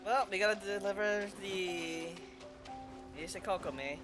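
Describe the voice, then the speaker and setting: A woman's voice: mid-range voice, measured pace. A woman streaming a video game and making casual, wry asides to her viewers. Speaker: gameplay streamer. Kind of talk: casual commentary over gameplay. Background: game music.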